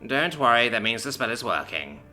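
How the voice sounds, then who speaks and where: Man voicing a cold fantasy healer, clinical, detached, bright tone. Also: mid-range voice, very animated, brisk, soft background music. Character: cold, matter-of-fact healer.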